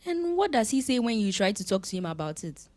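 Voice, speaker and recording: A woman playing a sassy, loving young lawyer in an audio drama, teasing and expressive. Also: mid-range voice, fairly quick, dry recording, natural voice. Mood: warm, teasing.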